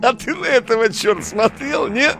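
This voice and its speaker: An actor in a modern audio drama playing a cynical, bossy relic digger, a slangy and commanding drinking buddy. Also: male voice, extremely high pitch, animated, moderate pace, vocal fry, full character voice. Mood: wry surprise.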